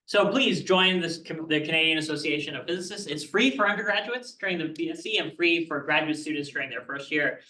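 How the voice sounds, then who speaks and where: A man's voice: fairly high pitch, pushed and bright. A man hosting a campus lecture, reading announcements and introducing the speaker, hesitant with many ums. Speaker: event host introducing the speaker. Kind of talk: scripted announcements. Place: university lecture hall. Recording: echoey hall from a distance.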